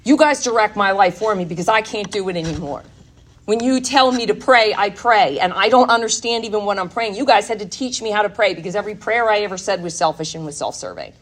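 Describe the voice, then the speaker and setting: A woman's voice: medium pitch, fast talker. A woman speaking candidly and emphatically at a recovery workshop, giving a personal testimony talk to an audience.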